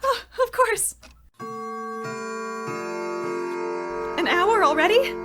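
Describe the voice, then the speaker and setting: High-pitched, unhinged shut-in lady. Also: animated, moderate pace, over music. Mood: nervous, evasive. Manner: fretful, scatterbrained, talks to herself. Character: paranoid, unhinged shut-in woman talking to her potato. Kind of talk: old-time radio comedy acting.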